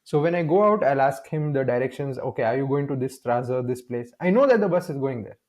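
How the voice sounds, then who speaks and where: Indian-born man with a mellow voice. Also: mid-range voice, a little fast. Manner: animated storytelling, acts out dialogue, many restarts. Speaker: guest. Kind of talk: casual conversational interview.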